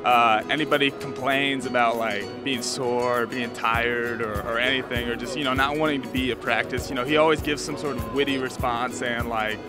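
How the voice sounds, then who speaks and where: A man's voice: medium pitch, fairly even intonation, normal speed, few pauses, music in the background. A young male football player giving casual, informal answers in an interview. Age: young adult.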